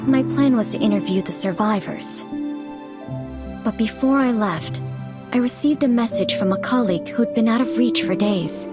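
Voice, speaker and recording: An actress playing a poised, observant reporter and investigator in a video game, speaking in a measured, analytical, polite way. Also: female voice, on the high side, loud music behind the voice, natural voice. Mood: reflective, analytical. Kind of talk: scripted game narration and dialogue.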